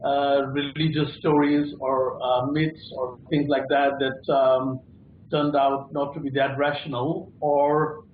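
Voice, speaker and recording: Slow halting man on a tinny line. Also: medium pitch, level tone, a little hiss or hum. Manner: slow, halting, many fillers. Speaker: panellist. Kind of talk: call-in discussion. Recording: tinny echoey phone-like line.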